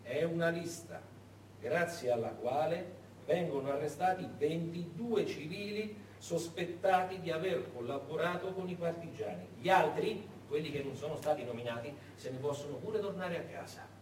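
A man telling history as a dramatic stage monologue, emphatic, with pauses and stretched words. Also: on the high side, a little mellow. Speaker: stage actor and narrator. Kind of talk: theatrical historical storytelling, monologue. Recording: echoey stage, distant, faint noise.

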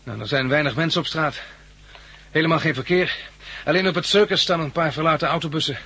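An actor playing a sober survivor, telling the story gravely in a rough voice in an old radio drama. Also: male voice, fairly high pitch, a little fast, bright, forceful tone, heavily acted. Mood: concerned. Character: sober male survivor narrating his bleak memories. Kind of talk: scripted narration in 1960s Dutch radio drama.

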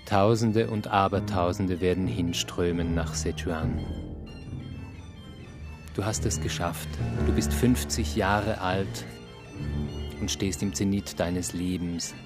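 Really deep guy narrating a radio play, super slow and deliberate, music playing behind him. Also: fairly even intonation. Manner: measured, deliberate phrasing with pauses. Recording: music under the voice. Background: instrumental music.

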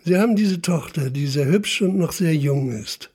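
An actor playing a stern police investigator in a radio drama, laying out accusations insistently and deliberately in a gravelly voice. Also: male voice, on the high side, expressive, a little mellow. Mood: insistent, accusing. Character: stern, relentless police investigator interrogating a suspect. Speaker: narrating police official. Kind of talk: German radio drama, interrogation.

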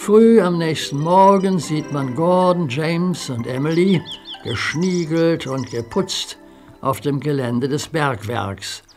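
A man narrating a children's audio drama in a calm, vivid storytelling manner. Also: a little high, slow pace, faint music behind.

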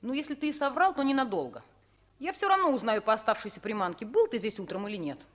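A woman's voice: a little high, lively intonation, fast, natural voice. An actress playing a bossy, doubting young boy in a 1950s radio play. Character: young boy, bossy and sceptical fisherman's friend.

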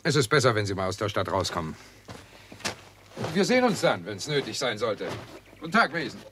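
An actor playing a shrewd, bossy investigator with an emphatic, wry manner in a 1960s German radio crime drama. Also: male voice, fairly low pitch, sing-song, brisk. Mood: brisk, confident.

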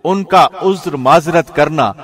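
Calm man reading a studio narration. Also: on the high side. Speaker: translation narrator. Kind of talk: scripted narration. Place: recording studio.

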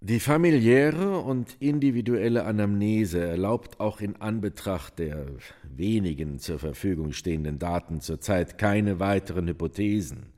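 Man acting a low-voiced, pompous clinical doctor. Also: measured pace, few pauses, a little mellow, natural voice. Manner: detached, officious, precise. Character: pompous clinical male doctor dictating psychiatric report. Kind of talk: formal dictation in a German radio play.

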